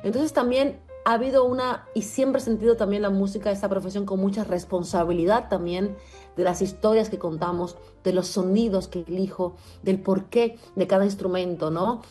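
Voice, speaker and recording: A woman musician who is a native French speaker and comes from Cuba, giving reflective interview answers in an earnest, deliberate and carefully articulated way. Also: medium pitch, steady tone, dark tone, faint music behind.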